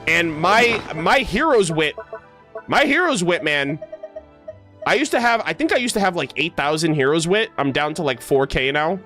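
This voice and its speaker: A man's voice: a little high, moderate pace, soft background music. A man livestreaming a game, chatting casually with viewers in a rambling, slangy way and often correcting himself. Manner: rambling, self-correcting, slangy, animated asides. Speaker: game streamer.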